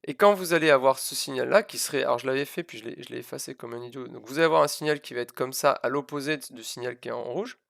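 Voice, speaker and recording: A man teaches physics on a live stream in a casual, self-deprecating way. His voice is medium-pitched with a gravelly edge, recorded very dry. He hesitates and repeats himself, leaning on the key words. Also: fast talker, hardly any pauses, fairly bright tone, no echo. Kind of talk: informal live lesson, explaining a demonstration.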